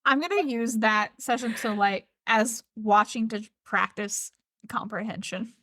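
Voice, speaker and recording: A woman co-hosting a podcast, chiming in with quick supportive comments. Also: fairly high pitch, a bit bright, no echo. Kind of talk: casual podcast chat.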